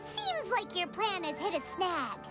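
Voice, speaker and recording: Childlike game fairy sidekick; squeaky, extremely animated, perky, loud music behind. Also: female voice, unhurried, exaggerated voice. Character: tiny, childlike, chirpy fairy companion.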